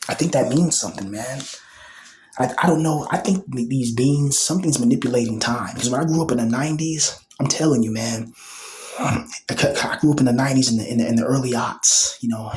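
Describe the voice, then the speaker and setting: A man's voice: medium pitch, normal speed, a little mellow, a little hiss or hum, rough recording. A man in his thirties rambling casually in a vlog, full of slang, fillers and repeated phrases, sometimes dropping to a whisper. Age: 30s. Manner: loose slang-filled talk with many fillers and repetitions. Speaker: vlogger.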